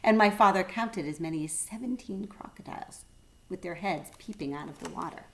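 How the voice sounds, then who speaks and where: A woman's voice: on the low side, a little fast, a bit bright, a little hiss or hum. A woman reading a children's storybook aloud like a teacher, expressively and speaking directly to young listeners.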